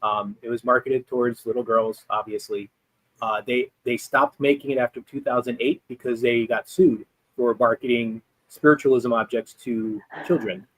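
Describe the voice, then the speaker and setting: Male guest on a live chat, plain middle pitch, dry close sound, stumbling through facts. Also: steady tone, moderate pace, no echo, poor audio. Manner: hesitant, many fillers and restarts. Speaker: guest Ouija board historian. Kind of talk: casual livestream chat.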